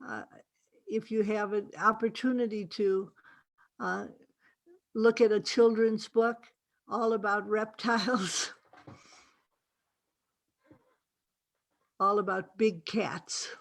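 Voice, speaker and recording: A woman author answering questions at a virtual book event over a video call, speaking in a deliberate, emphatic way with pauses. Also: mid-range voice, steady tone.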